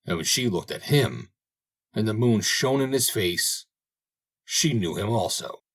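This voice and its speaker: A man reading a fairy tale aloud as scripted audiobook narration, in a dramatic, expressive storytelling manner. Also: fairly low pitch, a little slow. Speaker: audiobook reader.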